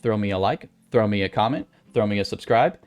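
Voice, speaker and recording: A man's voice: fairly low pitch, fairly quick, clear, resonant tone. A man giving casual writing advice as an author, in a folksy, conversational way with emphasis on key points. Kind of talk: casual instructional vlog talk.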